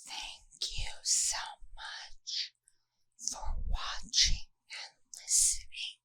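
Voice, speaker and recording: A woman speaks entirely in a breathy whisper, as an ASMR creator closing out a recording. Her phrasing is slow and deliberate, broken into short, careful pieces. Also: pushed and bright, no room sound, rough recording. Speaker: ASMR creator giving an outro.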